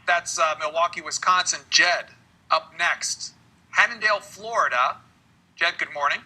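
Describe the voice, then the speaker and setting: A man's voice: a little high, unhurried, sharp tone, slightly rough, low-quality recording. A man hosting a live call-in broadcast, moderating callers. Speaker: call-in show host.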